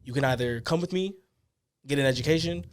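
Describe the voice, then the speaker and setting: A young man from Hawaii and the Bay Area, a podcast guest, telling stories in relaxed, slangy casual chat. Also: a little high, a little fast. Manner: laid-back slangy storytelling.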